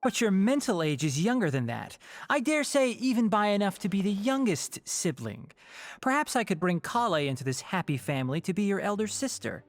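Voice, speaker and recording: A man's voice: high voice, big pitch swings, a little fast, no room sound. An actor playing a dry, bookish young male scholar in a video game, articulate and wry, with a proud, exasperated streak. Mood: wryly exasperated.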